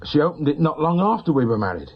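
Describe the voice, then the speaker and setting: An actor playing a grieving, insistent widowed shopkeeper in a radio drama, with a working-class English accent and plain colloquial speech. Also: male voice, on the high side, sing-song, fast, breathy edge, dull audio. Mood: troubled. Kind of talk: naturalistic radio drama acting.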